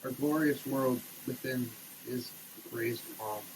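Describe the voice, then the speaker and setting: A man giving a theatrical, declamatory dramatic reading, swinging between hushed delivery and shouting, as a comic performance. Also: mid-range voice, fairly even intonation, slow, noisy background. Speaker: sketch comedy performer. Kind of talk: dramatic reading of a product label. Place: shower.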